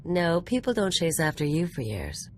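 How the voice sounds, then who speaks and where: A woman's voice: on the low side, very animated, moderate pace, darkish tone, slight background noise. A woman voicing a cocky, flirtatious rogue in a video game, casual and teasing with a bit of swagger. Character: cocky, flirtatious female rogue with a shady past.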